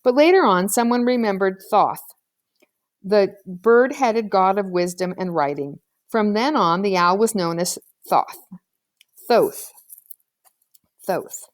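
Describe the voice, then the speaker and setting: A woman's voice: mid-range voice, slow, long pauses. A woman reading a children's novel aloud as scripted audiobook narration. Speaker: audiobook reader.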